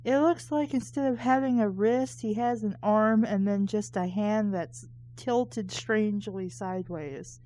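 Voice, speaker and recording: Slow-paced, mellow woman reading aloud. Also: medium pitch, rough recording. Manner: sarcastic, critical commentary. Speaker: host of a book reading show. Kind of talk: casual read-aloud with snarky asides.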